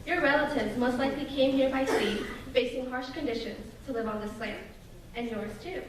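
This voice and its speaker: A young woman from Russia delivers a prepared speech to an audience in an earnest, measured way. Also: high-pitched. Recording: echoey hall from far off, noisy.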